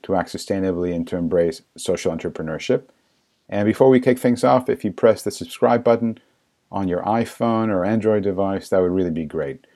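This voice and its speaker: A man's voice: on the low side, dark tone. A man hosting a podcast interview, upbeat and welcoming as he introduces his guest. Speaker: podcast host.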